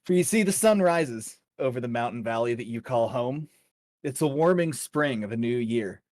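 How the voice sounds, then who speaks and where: A man's voice: medium pitch, lively intonation, normal speed, darkish tone, dry recording, clean studio sound. A man narrating a tabletop fantasy game as dungeon master, informal with his players.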